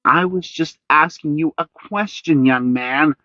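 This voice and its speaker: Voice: medium pitch, a little slow, pushed and bright, no room sound, dull audio, pitched above the performer's own voice. A storybook reader voicing a strict mother who talks firmly and scolds her son, in a slightly raised voice. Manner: firm and stern. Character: firm, strict mother of two.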